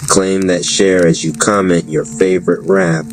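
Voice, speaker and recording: A man reading a scripted story and promo lines as a narrator, in a measured read-aloud delivery. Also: sits low, fairly even intonation. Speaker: narrator of a web radio story. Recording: clearly noisy, hissy background.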